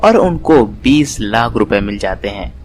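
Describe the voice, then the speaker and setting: A man's voice: fairly low pitch, very animated, unhurried, darkish tone, slight background noise. A man narrating a scripted family moral story as a storyteller.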